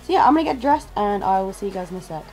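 Chatty young woman, croaky morning voice. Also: mid-range voice, expressive, very fast, mellow, soft background music, rough recording. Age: 20s. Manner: chatty and upbeat, laughs often. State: slightly croaky voice. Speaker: drama school student vlogger. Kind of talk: casual vlog chat. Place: small student flat bedroom and kitchen, indoors. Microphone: camera mic at arm's length.

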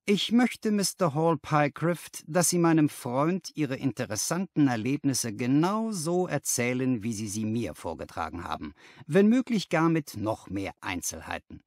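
A man voicing a brisk, exacting gentleman detective, precise and emphatic in an audiobook. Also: mid-range voice, very animated, no echo, clean studio sound. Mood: measured, keen.

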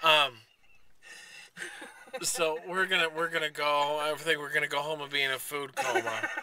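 A man's voice: on the high side, flat delivery, moderate pace, bright, forceful tone. An American man in his thirties chatting casually to a camera in a car, rambling in a jokey, enthusiastic way. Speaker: vlogger. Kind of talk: casual vlog chat. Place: inside a parked car. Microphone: camera at arm's length.

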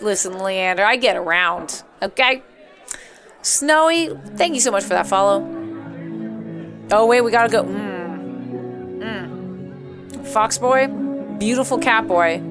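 A young woman livestreaming a game playthrough, reading its text aloud and reacting in a playful, crude, expressive way. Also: mid-range voice, moderate pace. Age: young adult. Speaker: game streamer. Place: small home room, indoors. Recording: music behind the voice. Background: game background music.

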